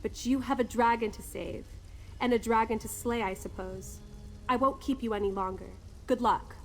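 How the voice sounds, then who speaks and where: A woman playing a nervous, affectionate female ally in a video game, speaking in a soft, earnest, confiding way. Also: on the high side, steady tone, normal speed, slight background noise, natural voice. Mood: resigned, supportive.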